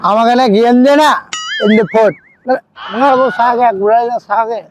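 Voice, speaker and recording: A man's voice: very high-pitched, steady tone, normal speed, dull audio, music in the background. A man playing a foul-mouthed, boastful drunkard in a comedy skit, belligerent and throwing out challenging questions. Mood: angry, boastful.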